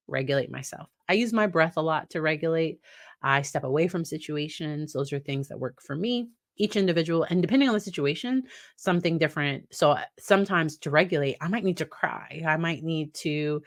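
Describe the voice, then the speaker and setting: A woman in her thirties, a psychologist talking casually to camera about parenting, with a naturally deep voice and a conversational manner. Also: dry recording, studio quality. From United States. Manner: conversational, warm laughs, acts out quoted dialogue in a higher voice. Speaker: licensed psychologist, host. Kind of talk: casual educational talk to camera.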